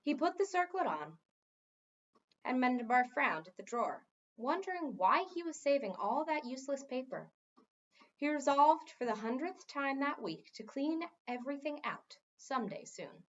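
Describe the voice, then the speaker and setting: A woman narrates a storybook in an expressive read-aloud style. Her voice is on the high side and moves freely in pitch. The recording sounds a little dull. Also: normal speed.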